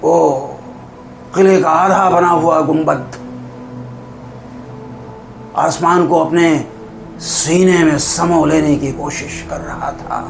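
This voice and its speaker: A slow, gravelly, brooding king. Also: on the high side, steady tone, soft background music. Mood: rapt, reminiscing. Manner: declamatory, with long dramatic pauses. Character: brooding, world-weary middle-aged king, poetic and volatile. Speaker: actor performing a dramatic monologue. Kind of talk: theatrical Urdu-poetic stage monologue.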